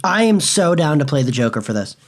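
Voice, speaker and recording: A man's voice: on the high side, big pitch swings, brisk. A man co-hosting in casual brainstorming chat, playful and enthusiastic. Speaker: co-host.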